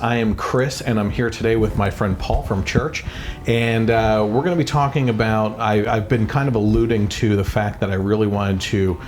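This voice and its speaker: A man with a fairly low voice hosts a relaxed podcast from his home. He talks earnestly, circling around his points and restarting sentences. A faint hum of noise sits under his voice. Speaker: podcast host. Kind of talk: casual conversational podcast. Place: home, after dinner.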